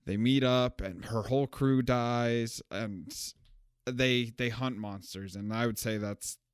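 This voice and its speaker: Young male podcast co-host, casual film chatter, smooth lowish voice, dry close mic, loose rambling delivery. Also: steady tone, unhurried, no echo. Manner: rambling, self-correcting, laughs at own jokes. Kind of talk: casual film review chat.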